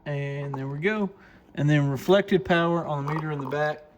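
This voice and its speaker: A man with a slightly high voice walks through a product in a casual, folksy manner. He explains each step plainly, like a shop owner showing his goods. There is faint noise and some clicking in the recording. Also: moderate pace. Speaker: radio shop owner presenting a product demo. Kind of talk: casual hands-on product walkthrough. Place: radio shop, indoors.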